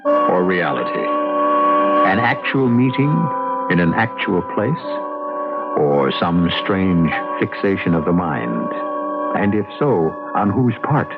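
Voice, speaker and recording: Male host for vintage mystery theater, very low and creaky, portentous narration, muffled recording with loud music. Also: big pitch swings. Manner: portentous, deliberate, questioning. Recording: dull old radio recording, loud music.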